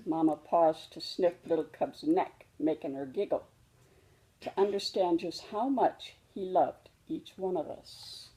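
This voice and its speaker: Female storybook reader for young children, gentle and mellow, taking her time over each phrase. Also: lowish voice, a little slow, rough recording. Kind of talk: children's read-aloud narration.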